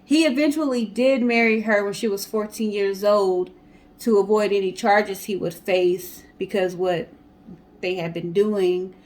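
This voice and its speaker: A woman with an average-pitched voice co-hosts a storytime show. She relates the story from notes in a casual, conversational style. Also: steady tone, unhurried, a little mellow. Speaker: co-host of a storytime guessing-game show.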